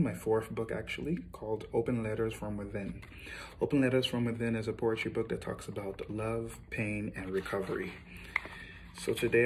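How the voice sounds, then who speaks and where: A man's voice: fairly low pitch, slight background noise. A man, an author and poet, introducing and reading his own work.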